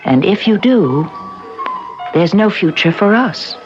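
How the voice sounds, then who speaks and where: A woman being courted in a vintage radio drama. She is guarded and romantic, quick to take offense and stubborn. The sound is narrow and old, with music in the broadcast. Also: lowish voice, expressive. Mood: earnest, reproachful.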